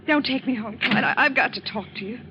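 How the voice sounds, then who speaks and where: High-voiced desperate young woman in radio melodrama, acted. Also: a little fast, close to an everyday voice. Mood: pleading. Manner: pleading, insistent, theatrical. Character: desperate, clinging young woman spurned by her lover. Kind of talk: 1940s radio melodrama acting. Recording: dull, noisy vintage radio recording.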